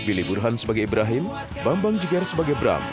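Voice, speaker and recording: A male radio drama announcer reads credits dramatically. His very animated, mid-pitched delivery is declamatory, with prominent guitar music behind him. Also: fast talker.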